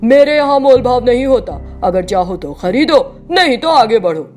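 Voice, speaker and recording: A woman's voice: a little high, animated, normal speed, darkish tone, soft background music, lightly acted. A woman voicing a greedy, brusque male coconut seller who haggles, in a storybook tale. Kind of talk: storybook character voice.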